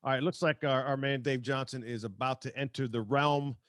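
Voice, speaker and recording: A man hosts a sports podcast in a conversational, slangy way. His pitch sits in the middle and the sound is close and dry, with casual phrasing throughout. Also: fairly even intonation, brisk, fairly bright tone, dry recording. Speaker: podcast host. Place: remote video call.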